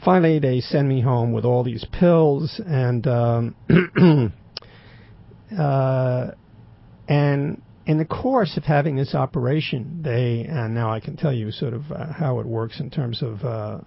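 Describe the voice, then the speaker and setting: An older man with a raspy voice, telling a rambling personal story with dry, wry humor and many fillers. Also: mid-range voice, slow pace, dull audio. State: throat occasionally closing up.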